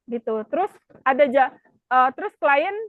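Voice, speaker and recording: A woman's voice: sits high, expressive, a little slow, no echo, narrow, tinny audio. A woman, explaining things informally as a class instructor with frequent check-in questions. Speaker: virtual assistant class instructor. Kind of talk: informal teaching, explaining with examples.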